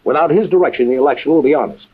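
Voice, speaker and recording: An actor playing a composed, authoritative intelligence chief and adventure storyteller, measured and dry, in a vintage radio drama with tinny sound. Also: male voice, on the high side, breathy edge. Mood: grave, explanatory. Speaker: host and narrator-character. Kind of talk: old-time radio adventure drama acting.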